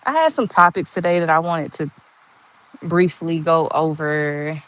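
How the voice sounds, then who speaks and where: A woman in her forties with a monotone voice, chatting slowly and candidly on a livestream and answering viewers' comments. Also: sits low, poor audio. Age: 40s. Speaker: livestream host. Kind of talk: casual live chat answering viewer comments.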